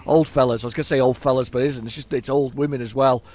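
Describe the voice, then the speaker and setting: A hoarse man giving casual, rambling commentary while playing a driving game at home, often stammering and repeating words as he chats to himself. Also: mid-range voice, steady tone, quick pace, a little mellow. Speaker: gaming YouTuber.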